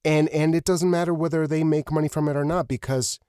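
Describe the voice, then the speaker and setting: A man's voice: on the high side, steady tone, dark tone, clean tone, no room sound. Earnest male podcast host, close dry sound. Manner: earnest, halting, repeats words as he thinks. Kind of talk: conversational interview.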